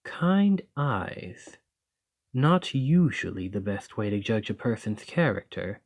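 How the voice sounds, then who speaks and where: A man voicing a calm, gracious male lamia noble merchant in an ASMR roleplay, speaking softly in a measured, formal way. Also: on the low side, exaggerated voice. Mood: curious. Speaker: boss speaking to the listener.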